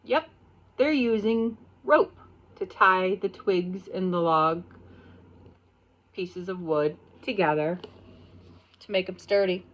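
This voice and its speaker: A middle-aged woman with an American accent, a pre-kindergarten teacher reading a picture book aloud and explaining it simply, pausing on key words. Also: lowish voice, fairly bright tone, dull audio.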